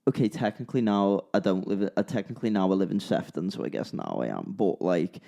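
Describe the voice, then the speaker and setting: A man's voice: deep voice, very animated, fast, creaky, dark tone. A young man from Liverpool with a Scouse accent, co-hosting a podcast and telling a long rambling story. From Liverpool, England. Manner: rambling, restarts and repeats himself. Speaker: podcast co-host, musician. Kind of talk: casual storytelling chat.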